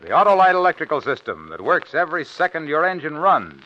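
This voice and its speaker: Animated high-pitched male radio pitchman. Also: fast talker, dry recording. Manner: emphatic, polished salesman. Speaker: commercial announcer. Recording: dull, dry old radio recording.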